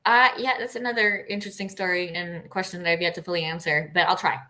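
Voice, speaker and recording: A middle-aged woman from Costa Rica telling stories casually as an interview guest, with an informal, animated manner. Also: on the low side, fast. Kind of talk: casual conversational storytelling.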